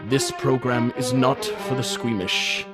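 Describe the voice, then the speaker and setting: A man giving a theatrical old-time radio introduction as announcer, dramatic, deliberate and ominous. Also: mid-range voice, level tone. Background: music.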